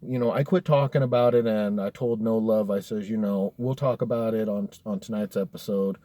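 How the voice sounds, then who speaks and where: Dark-voiced American man telling stories. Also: fairly low pitch, steady tone, a little fast, no echo. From Colorado, United States. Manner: profane, slangy, addressing listeners as dude and bro. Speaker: storyteller, host of a story-time series. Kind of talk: casual spoken personal horror story.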